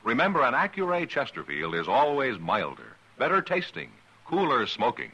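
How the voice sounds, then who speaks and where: A man's voice: medium pitch, wildly swooping pitch, normal speed, pushed and bright, husky. Old-time radio announcer guy, formal and bouncy, dull lo-fi sound with music under it. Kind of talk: 1950s radio announcing and sponsor copy. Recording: muffled 1950s radio broadcast with music.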